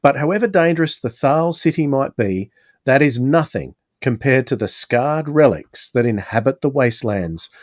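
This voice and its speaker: A man's voice: medium pitch, expressive, normal speed, no echo, clean studio sound. A man presenting and discussing as a podcast host.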